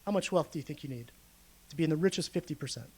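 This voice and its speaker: A man's voice: a little high, expressive, racing, a little mellow, slightly rough. A man gives a public lecture as a guest researcher, explaining data to a room in a conversational, informal way and checking in with his listeners. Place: speaking live to a room of listeners.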